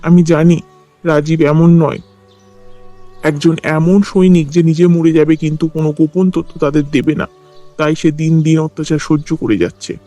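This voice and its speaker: An actor playing a regretful senior army officer, solemnly explaining things in a cartoon story. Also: male voice, on the high side, steady tone, normal speed, dark tone, close to an everyday voice. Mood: grave, regretful. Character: grave, regretful senior army officer, old family friend. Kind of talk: dramatized cartoon story acting. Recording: music under the voice.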